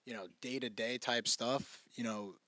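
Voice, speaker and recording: A man in his twenties from New York, a real estate agent talking as a podcast guest, soft-spoken and hesitant with many fillers. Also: medium pitch, steady tone, unhurried, no echo. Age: 20s. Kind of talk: casual interview chat.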